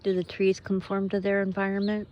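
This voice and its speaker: A woman giving an unscripted, reflective monologue while out walking in nature, thinking aloud in halting, drawn-out phrases. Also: mid-range voice, monotone, a little mellow. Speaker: host of a personal walking monologue. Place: outdoors on a walk in nature.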